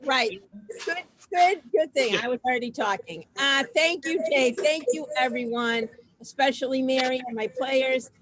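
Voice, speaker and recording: A woman casually hosting an online community gathering over a video call, chatting informally in a halting way with trailing asides. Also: high voice, a bit bright. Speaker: host of an online community program. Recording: noisy video call audio.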